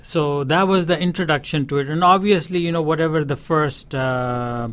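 A man with a Pakistani accent answering interview questions as a studio guest, explaining things in a halting, thoughtful way. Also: a little high, a bit bright. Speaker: studio guest, sitar player. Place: radio studio. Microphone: studio broadcast microphone.

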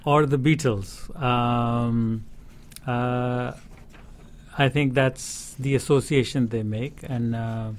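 A man with a Pakistani accent answering interview questions as a studio guest, explaining things in a halting, thoughtful way. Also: mid-range voice, steady tone. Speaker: studio guest, sitar player. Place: radio studio. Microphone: studio broadcast microphone.

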